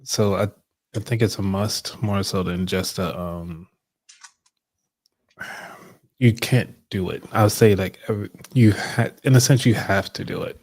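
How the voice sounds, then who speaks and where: A man's voice: sits low, steady tone, measured pace, long pauses, a little mellow, dry recording. A man co-hosting a casual podcast discussion, talking in a loose, rambling way with many fillers. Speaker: podcast co-host.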